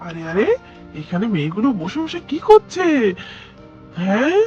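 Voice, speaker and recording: A woman's voice: mid-range voice, big pitch swings, moderate pace, a little mellow, highs cut off, faint music behind, close to an everyday voice. A woman voicing a greedy, bossy, scheming man in a children's doll story. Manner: bossy, insistent.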